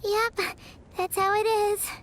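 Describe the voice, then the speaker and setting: A woman voicing a tiny, chirpy fairy companion in an anime game, with a squeaky childlike voice. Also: slow pace, a little hiss or hum, heavily acted. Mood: chirpy, pondering. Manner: refers to self in third person, animated.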